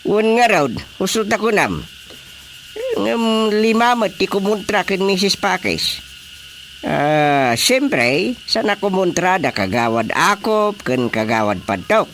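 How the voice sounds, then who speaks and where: Excitable Filipino village chairman, swooping voice. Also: high-pitched, slow, a little hiss or hum, heavily acted. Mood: excited, concerned. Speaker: radio drama actor. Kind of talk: Filipino radio drama comedy acting.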